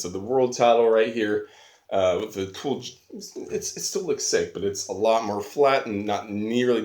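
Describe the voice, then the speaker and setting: A man's voice: lowish voice, darkish tone. A man giving a casual, unscripted video review, rambling enthusiastically in slangy everyday language, recorded on a phone. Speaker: online video host, reviewer. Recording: roomy echo on phone camera.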